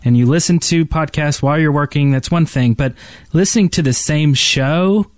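A man's voice: on the high side, normal speed, no room sound, clean studio sound. A man hosting a podcast, chatting casually. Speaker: podcast host.

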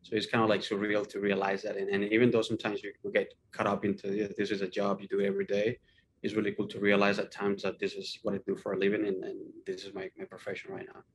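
A man giving fluent, explanatory answers in an interview, with a faint rumbling noise on the recording. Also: fast talker, poor audio. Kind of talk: first-person interview answers.

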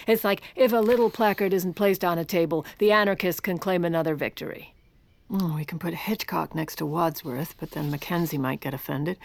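Wry, scheming first lady. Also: low voice. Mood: wry, insistent. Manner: wry, muttering asides to herself. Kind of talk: audio comedy drama, dialogue and first-person narration.

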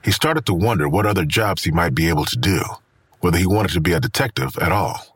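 A man narrating a crime audio drama as a brooding storyteller, with a gravelly, husky voice. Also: sits low, expressive, quick pace, no echo, studio quality, exaggerated voice. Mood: somber. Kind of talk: scripted narration.

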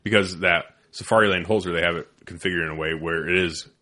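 Man, very deep voice, mumbly, bright forward tone, casual sweary host chat. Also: steady tone, quick pace. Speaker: podcast host.

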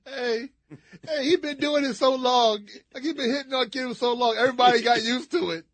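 Very high-pitched man, incredulous banter. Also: fairly even intonation, moderate pace, a bit bright, dry recording. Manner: exclamatory, incredulous, repeats himself for emphasis. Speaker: radio show sidekick. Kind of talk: casual comic banter.

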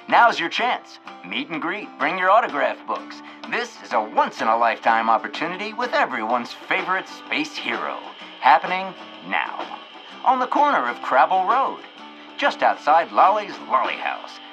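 Animated, showy small-town radio announcer. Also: male voice, a little high, measured pace, full character voice. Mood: breathless hype. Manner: punchy, promotional. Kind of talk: old-time radio announcing in audio fiction. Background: music.

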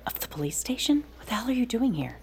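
A woman's voice: a little high, big pitch swings, quick pace, a little mellow. A woman playing a protective, no-nonsense law enforcement sister in an audio drama, blunt and scolding, with an American accent. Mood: alarmed. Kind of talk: naturalistic audio drama acting.

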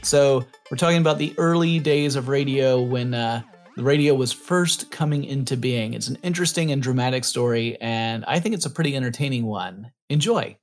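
A man's voice: mid-range voice, normal speed, dry recording, clean studio sound, soft background music. An American man hosting a podcast in a chatty, wordy, conversational way with a playfully grand turn of phrase. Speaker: podcast host.

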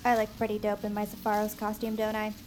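A woman's voice: medium pitch, completely flat delivery, quick pace, a little mellow, slight background noise, lightly acted. An actress playing a bossy, earnest, chatty young woman, a nerdy best friend, in a naturalistic audio drama. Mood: chatty, excited.

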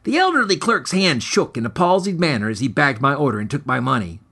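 Man with lively, swooping storytelling delivery. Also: a little high, brisk, breathy edge. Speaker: author and podcast host. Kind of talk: scripted dramatic story narration.

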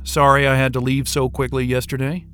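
A man's voice: medium pitch, level tone, brisk, a bit bright, clear, resonant tone, faint music behind, natural voice. An actor playing an exasperated, foul-mouthed male astrophysicist in an audio drama, irritable and sarcastic. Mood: low, confiding.

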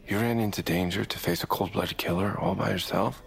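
A skeptical amateur bounty hunter in a comedic audio drama. He has a fairly low voice and a dry, needling, sarcastic delivery that sounds natural and conversational. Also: steady tone, brisk, creaky. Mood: sardonic.

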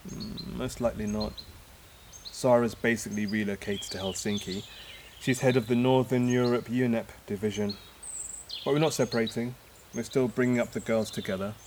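An actor in an audio drama playing a wistful, idealistic man who speaks reflectively and haltingly, as if making a speech. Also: mid-range voice, steady tone, darkish tone, a little hiss or hum, lightly acted. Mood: wistful, fond. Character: wistful departing man, hopeful philosophising idealist, father. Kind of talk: naturalistic audio drama acting.

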